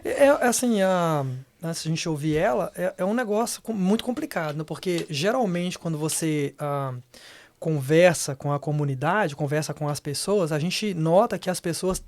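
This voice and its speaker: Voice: medium pitch, moderate pace, a little mellow. A Brazilian podcast host with a Brazilian Portuguese accent, asking long, rambling questions full of fillers. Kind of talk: casual podcast interview.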